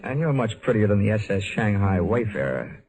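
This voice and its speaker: An American man playing a cool, flirtatious hardboiled investigator with wry, deadpan delivery in a 1950s radio drama. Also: lowish voice, normal speed, rough recording. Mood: flirtatious banter. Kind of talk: 1950s radio detective drama acting, first-person narration.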